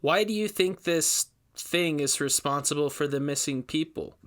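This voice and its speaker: A man's voice: on the high side, moderate pace, a bit bright, natural voice. A man voicing a practical, skeptical female investigator in a story reading, matter-of-fact and questioning.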